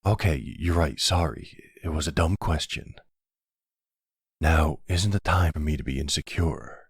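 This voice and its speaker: A man playing a gentle older mafia man and devoted partner, speaking softly and reassuringly in an intimate roleplay. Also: extremely low pitch, unhurried, slightly rough, dry recording, studio quality, heavily acted. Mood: soothing, vulnerable. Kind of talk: intimate ASMR boyfriend roleplay.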